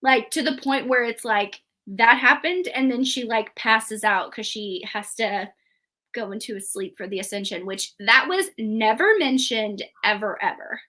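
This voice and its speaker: A woman co-hosting a book discussion, chatting casually in an animated, emphatic, informal way. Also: a little high, a little slow. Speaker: book review show co-host. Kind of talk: casual chat about books.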